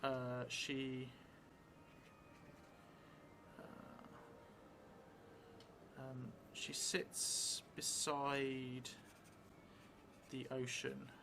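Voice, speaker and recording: A middle-aged man thinking aloud as he plays a solo tabletop game, halting and hesitant, often trailing off mid-thought. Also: medium pitch, completely flat delivery, creaky, noisy background. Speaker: solo tabletop role-player streaming a game. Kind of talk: thinking aloud while playing a journaling game. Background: music.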